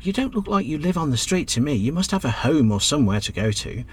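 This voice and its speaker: An actor playing a chatty, good-hearted but easily exasperated British taxi driver in a radio drama. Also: male voice, fairly high pitch, big pitch swings, fast, breathy edge. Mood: kindly, coaxing. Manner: chatty, colloquial, calls passenger love.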